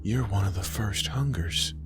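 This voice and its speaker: A man's voice: fairly low pitch, measured pace, music in the background, natural voice. A man voicing a wary, questioning woman in a horror story, terse and earnest. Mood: hushed certainty.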